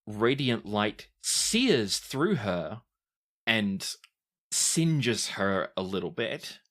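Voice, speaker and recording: A game master with a very low voice and a slow pace. The pitch moves a lot while giving vivid, dramatic scene narration, then drops into casual rulings for the players. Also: female voice, sing-song, fairly bright tone. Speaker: game master of a tabletop RPG podcast.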